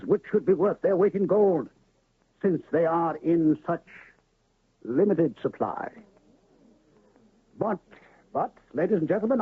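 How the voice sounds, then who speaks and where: A grandiose traveling medicine-show huckster in an old radio play, spinning florid patter in a high, husky voice. The sound is thin and narrow, like an old broadcast. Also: male voice, full character voice. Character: pompous smooth-talking traveling medicine-show pitchman, male. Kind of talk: 1970s radio drama acting.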